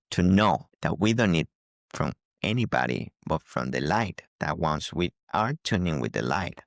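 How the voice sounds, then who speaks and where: A man's voice: low voice, vocal fry, no echo, clean studio sound. A man giving an earnest, instructive spiritual talk as a teacher, often repeating phrases for emphasis.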